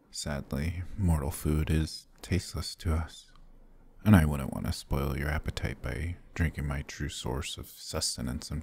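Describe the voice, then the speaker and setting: A man voicing a courtly, gentle vampire lord in a fantasy ASMR roleplay, speaking in a formal, measured, soft-spoken way. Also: full character voice. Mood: gentle, reassuring. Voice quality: husky, low.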